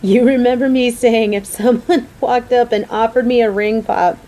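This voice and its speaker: A woman's voice: a little high, steady tone, moderate pace, dark tone, natural voice. A woman playing a caring, motherly female coworker who frets over the person close to her, earnest and affectionate in a romantic audio roleplay. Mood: overjoyed, tearful. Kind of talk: scripted romantic audio roleplay spoken to the listener.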